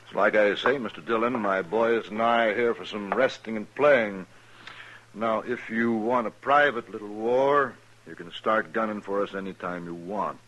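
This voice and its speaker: An actor playing a cocky, menacing gunslinger who taunts slowly in a 1950s radio drama. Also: male voice, fairly low pitch, a bit bright, dull audio, a little hiss or hum, lightly acted. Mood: taunting. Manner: smooth, taunting, drawling.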